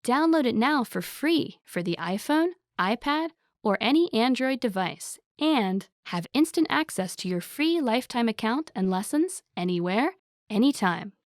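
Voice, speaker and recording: A woman's voice: on the high side, lively intonation, unhurried, no room sound, clean studio sound. A woman reading a scripted promotional voice-over in an upbeat, salesy way. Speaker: ad announcer.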